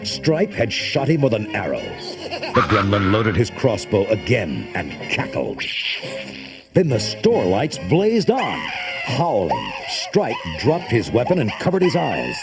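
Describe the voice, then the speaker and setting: A man's voice: mid-range voice, huge pitch swings, unhurried. A man reading a children's storybook as narrator, with dramatic, suspenseful delivery. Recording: old cassette tape with music behind.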